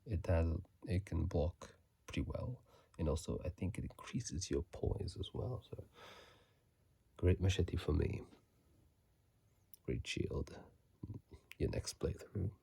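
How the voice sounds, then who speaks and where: A man whispering softly and closely into a phone mic in an unhurried ASMR style, reading and chatting casually about a video game. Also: sits low, animated, gravelly, husky, dry recording.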